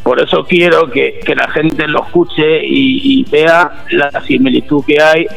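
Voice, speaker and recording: A man with a hoarse, rough voice, talking casually and haltingly as a guest on live radio. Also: a little high, steady tone, muffled recording. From Bujalance, Andalusia, Spain. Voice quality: hoarse, gravelly. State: hoarse after little sleep. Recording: radio show with faint music.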